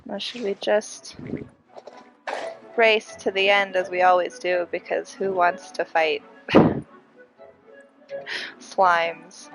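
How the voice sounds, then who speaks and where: A young woman with a congested, breathy voice, giving casual, excitable live commentary as she streams a game. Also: fairly low pitch, takes it slowly, pauses often, low-quality recording. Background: game music.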